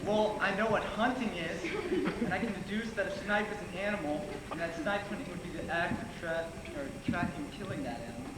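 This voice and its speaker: A teenage male student actor playing a naive, good-natured high school boy in an amateur stage play. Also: a little fast, close to an everyday voice. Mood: puzzled. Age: teens. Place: theatre stage, indoors. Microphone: far camera. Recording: echoey stage, far camera, loud noise.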